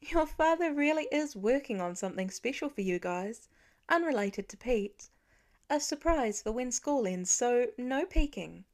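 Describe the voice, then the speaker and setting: A woman's voice: a little high, animated, measured pace, a little mellow, voice pushed up. A woman voicing a caring mother who explains things carefully and with measure in an audiobook. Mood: reassuring. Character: caring, careful mother softening hard news. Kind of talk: acted audiobook dialogue.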